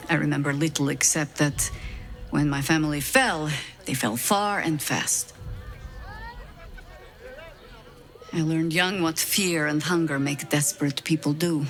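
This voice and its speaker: An actress voicing a weary, wry middle-aged woman agent in a video game, measured and self-deprecating, over music. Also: sits low, fairly bright tone. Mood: reflective, candid. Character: weary, wry veteran high-ranking woman harper agent. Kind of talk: video game dialogue acting, reflective storytelling.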